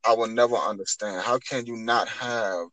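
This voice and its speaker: Male, 20s, low voice. Earnest spiritual talker, circles back over his phrases to build each point. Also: fairly even intonation, moderate pace, bright, forceful tone, low-quality recording. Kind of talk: spiritual talk in conversation.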